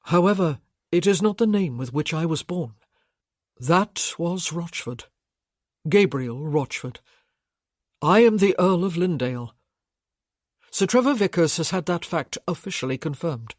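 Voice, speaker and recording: Composed young nobleman in a period audiobook, formal and measured, read by the narrator, dry close sound. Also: mid-range voice, expressive, dry recording. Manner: formal, measured, slightly raised. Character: composed aristocratic gentleman, young husband.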